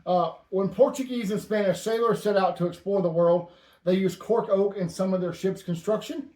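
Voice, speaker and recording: A man hosting a casual stamp-collecting show, with dry, deadpan banter. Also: high voice, moderate pace, roomy sound. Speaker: host.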